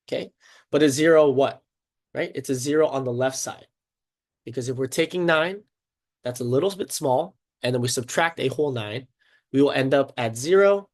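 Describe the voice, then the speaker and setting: A congested, sniffling man teaching a casual math lesson at a board, upbeat and chatty, often checking in with "okay" and "right?". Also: mid-range voice.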